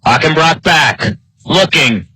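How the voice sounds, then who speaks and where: A man's voice: on the high side, lively intonation, takes it slowly, sharp tone, slightly rough, no room sound, poor audio. A man with an American accent doing live play-by-play commentary of an American football game. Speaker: play-by-play sports announcer.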